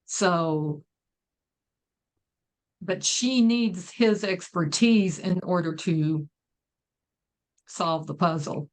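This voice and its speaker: A woman's voice: fairly low pitch, very slow, long pauses, poor audio. A woman speaking casually as a guest author, discussing her own writing.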